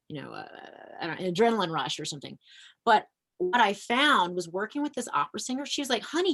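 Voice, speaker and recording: A woman musician talking earnestly in a relaxed conversation, reflective, with frequent fillers and restarts. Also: mid-range voice. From American. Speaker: folk punk musician and music educator. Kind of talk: casual conversation.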